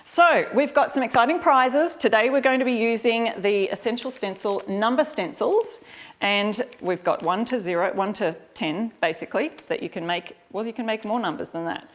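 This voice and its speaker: A woman's voice: on the high side, moderate pace. A woman with an Australian accent giving a casual live craft tutorial, chatting informally and directly to her viewers. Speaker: host of a live craft demonstration. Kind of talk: casual livestream tutorial chat.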